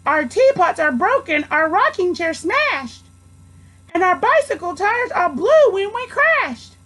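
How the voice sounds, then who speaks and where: A tiny storybook mayor, acted out by a woman, speaks very high above an everyday voice. The little figure sounds plaintive and pleading, with slow, careful delivery. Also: very animated, fairly bright tone, heavily acted, poor audio. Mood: distressed pleading.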